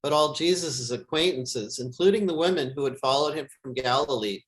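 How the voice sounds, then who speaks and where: A man who is a pastor, reading scripture aloud in a solemn, measured way. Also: medium pitch, low-quality recording.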